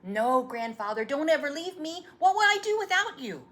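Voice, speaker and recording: A woman's voice: high-pitched, sing-song, normal speed, a bit bright, voice pushed up. A woman voicing an eager, proud young boy with breathless, earnest emphasis in a storybook read-aloud. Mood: pleading.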